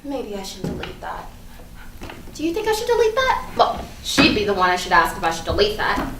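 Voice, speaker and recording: A woman's voice: mid-range voice, wildly swooping pitch, brisk. A woman acting an anxious, guilty young woman who doubts herself, in a comic staged reading. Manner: self-doubting, repetitive, swearing. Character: anxious, guilty young woman agonizing over her messages. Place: live staged reading in an echoey room. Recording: echoey, noisy stage recording from far off.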